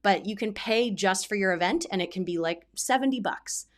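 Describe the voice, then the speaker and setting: A woman hosts a casual explainer video as an experienced event producer. Her delivery is conversational and practical, walking through options and slipping in little spoken examples as she goes. Her voice sits at a medium pitch for a woman. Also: normal speed. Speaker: event producer giving advice as video host. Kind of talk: casual explainer talk to camera.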